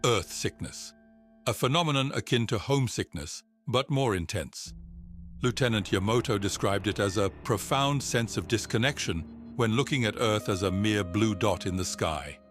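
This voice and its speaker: Male actor as space mission psychiatrist, low voice, calm, formal, clinical, audio drama, music underneath. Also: moderate pace, close to an everyday voice. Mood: clinical, detached. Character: measured, clinical male psychiatrist on a Mars expedition. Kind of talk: audio drama log and session dialogue.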